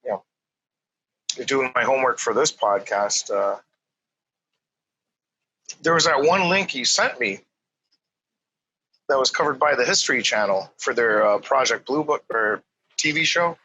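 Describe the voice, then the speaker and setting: Man, bright tone, husky, casual podcast guest, hesitant with fillers. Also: medium pitch, a little slow, long pauses, low-quality recording. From Korea. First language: English. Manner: relaxed, hesitant, many fillers. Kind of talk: casual chat.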